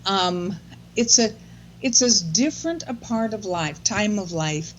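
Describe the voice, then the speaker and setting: A woman's voice: medium pitch, expressive, a little slow, highs cut off, slight background noise. An older woman as a guest in a casual chat, speaking in a thoughtful, reflective way.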